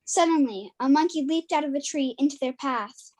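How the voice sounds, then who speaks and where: A woman's voice: sits high, normal speed, a little mellow. A woman narrating a folktale from a script as part of an amateur dramatic reading.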